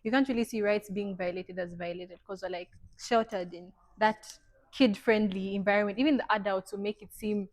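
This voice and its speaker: A young woman from Zambia talks as a podcast guest at an ordinary pitch for a woman. She speaks softly with light laughs and becomes more animated when she talks about her cause. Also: a little fast. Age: young adult. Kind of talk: casual interview answers.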